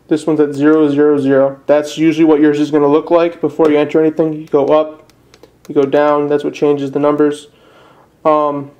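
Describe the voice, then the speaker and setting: Young man, Midwestern American accent, monotone, soft-edged relaxed tone, hesitant plain-spoken amateur tutorial. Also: on the high side. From northern Illinois, USA. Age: young adult. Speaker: amateur how-to presenter. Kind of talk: casual step-by-step tutorial. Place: indoors at a desk.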